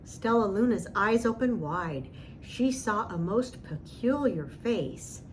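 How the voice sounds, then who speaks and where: A woman reads a children's story aloud as narrator. She goes slowly and expressively at a medium pitch, with faint noise behind her voice. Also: animated, low-quality recording.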